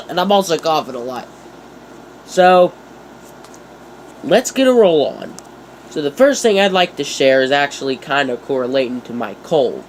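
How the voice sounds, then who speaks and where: A man's voice: fairly high pitch, very animated, unhurried, long pauses, a little hiss or hum, rough recording. A young man with a stuffy nose from a cold, rambling casually and jokingly to his channel viewers. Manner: rambling, jokey, self-mocking asides. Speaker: YouTuber. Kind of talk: casual vlog talk.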